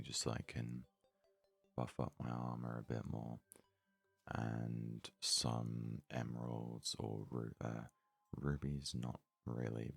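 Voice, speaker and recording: Asmr gamer guy, super deep crackly voice, hushed and breathy, murmuring along while he plays. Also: no room sound. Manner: murmured, unhurried thinking aloud. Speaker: let's play host. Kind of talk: ASMR gaming commentary.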